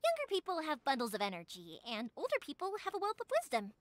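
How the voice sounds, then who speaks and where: A woman's voice: high-pitched, wildly swooping pitch, fast. An actress playing a cheerful, kindhearted young woman in a video game, bubbly and friendly. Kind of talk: video game character acting.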